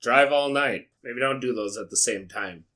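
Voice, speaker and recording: An American man hosting a comedic read-aloud, dropping wry, sweary, deadpan asides in a casual way. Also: medium pitch, moderate pace. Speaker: YouTube host. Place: small indoor studio with foam panels.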